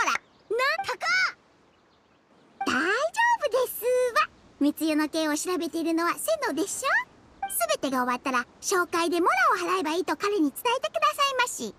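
A woman's voice: very high voice, noisy background, exaggerated voice. A woman voicing a small, greedy girl merchant in an anime game, with affectedly polite, ladylike sales patter. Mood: coaxing, brisk. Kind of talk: Japanese anime game voice acting. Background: background music.

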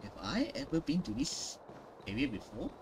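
A man's voice: mid-range voice, wildly swooping pitch, slow, noisy background. A man giving casual playthrough commentary on a video game, talking to himself and repeating his phrases. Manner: muttering to self, repeats phrases. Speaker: gaming YouTuber. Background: game music.

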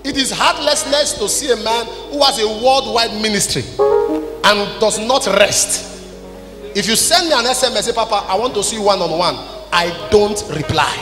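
A Nigerian man in his forties preaching to a congregation in an emphatic, scolding way, in short declarative phrases. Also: high-pitched. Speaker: preacher, apostle leading a church service. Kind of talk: sermon, addressing a congregation. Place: large church hall, on stage. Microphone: handheld microphone. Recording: echoey large hall PA, music behind.

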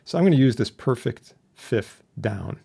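A man instructing in an informal software tutorial, chatty and plain-spoken with a wry streak. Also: medium pitch, expressive, normal speed, creaky, slightly rough. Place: indoors, voice-over for a screen recording.

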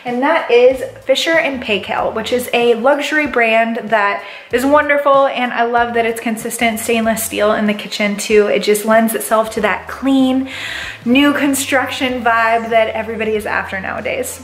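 A woman's voice: fairly high pitch, fairly even intonation, normal speed. A young woman, a real estate agent, giving a bubbly, enthusiastic house tour from a camera held at arm's length. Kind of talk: upbeat house tour vlog. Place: inside a large empty house. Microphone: handheld camera at arm's length.

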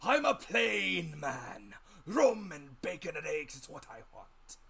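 A man voicing a rough, commanding old sea captain in a dramatic storybook reading, loud and slow, barking his words. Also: fairly high pitch, very animated, pitched above the performer's own voice, exaggerated voice. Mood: blunt, fierce.

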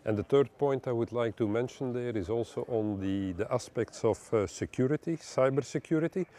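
A man, giving measured explanatory interview answers in phrasing. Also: medium pitch, dark tone, slight background noise. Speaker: head of engineering, interviewee. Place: large indoor operations room. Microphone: lapel mic.

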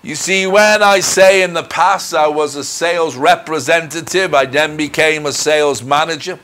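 A middle-aged man giving a personal testimony as a minister, speaking in an earnest, deliberate, measured way. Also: on the high side, a bit bright. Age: middle-aged or older. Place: indoors in front of a dark curtain. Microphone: lapel mic.

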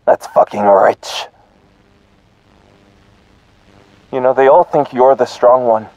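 An actor playing a wheedling, mocking and menacing young brother in an audio drama. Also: male voice, mid-range voice, normal speed, dry recording, muffled recording, lightly acted. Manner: coaxing, mocking.

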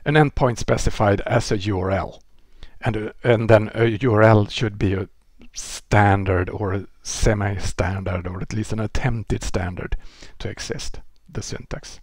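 A man with a Swedish accent explains a technical topic at an easy pace, voice slightly husky and pitched fairly low. He talks into a headset, the sound close and dry with no room around it, restarting phrases as he thinks. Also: measured pace, few pauses, no room sound. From Sweden. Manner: relaxed explaining, with frequent restarts and fillers. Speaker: presenter. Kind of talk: technical lecture. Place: indoor room.

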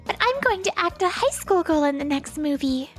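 Very high, sweet young actress played by a woman. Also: very animated, moderate pace, soft background music. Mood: cheerful, playful. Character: sweet, playful young actress disguised as cafeteria lady. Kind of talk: manga dub character acting.

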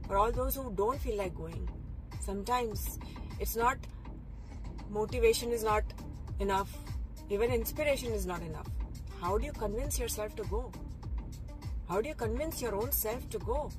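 A woman's voice: a little high, moderate pace, long pauses, over music. A middle-aged woman giving a reflective motivational talk from inside a car, with many restarts and repeated phrases. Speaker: vlogger. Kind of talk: motivational talk while driving. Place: inside a parked or moving car. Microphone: phone camera close, angled up from below.

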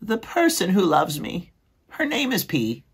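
A man's voice: high voice, wildly swooping pitch, creaky, husky, voice pushed up, exaggerated voice. A man doing a tiny lovesick mouse. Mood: dreamy. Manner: soft, slow, wistful. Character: small dreamy lovesick young male mouse.

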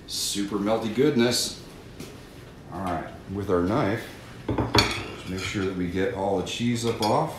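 A man's voice: fairly low pitch, slow pace, long pauses. A middle-aged man gives a folksy, instructive cooking demonstration with safety tips, speaking to kids and parents in a home kitchen. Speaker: fire safety educator. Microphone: camera mic from across the room. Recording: echoey kitchen, mic far off, audible noise.

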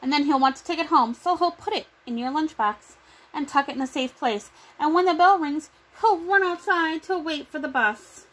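A woman's voice: sits high, moderate pace, a bit bright, low-quality recording. A middle-aged woman reading a children's picture book aloud. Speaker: children's story reader.

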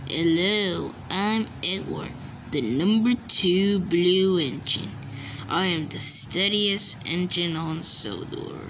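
A woman voicing a steady, loyal male steam engine for a cartoon, introducing it earnestly. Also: fairly low pitch, slow. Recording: noisy home recording.

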